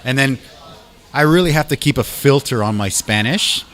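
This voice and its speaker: Man, from Canada, talk show host, mid-pitched voice, animated casual chat, quick agreeing interjections.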